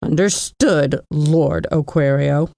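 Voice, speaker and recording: A woman's voice: deep voice, slow pace, gravelly, dark tone, dry recording, clean studio sound, heavily acted. An actress playing a shrewd, skeptical, assertive female general in an audio drama, with a pointed, formal manner. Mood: coolly yielding. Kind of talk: scripted audio drama acting.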